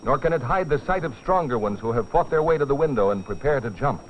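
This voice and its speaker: A man's voice: mid-range voice, expressive, fast talker, a little hiss or hum. A man narrating a safety training film as a dramatic scripted story, in a grave, urgent, theatrical manner. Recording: dull vintage film soundtrack with music.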